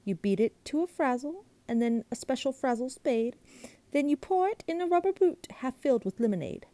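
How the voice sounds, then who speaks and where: A woman's voice: high-pitched, expressive, normal speed, warm tone, dry recording, voice pushed up. A woman voicing a greenish male creature from a storybook, a pushy chatterbox who talks on and on in a bossy singsong. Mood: jabbering.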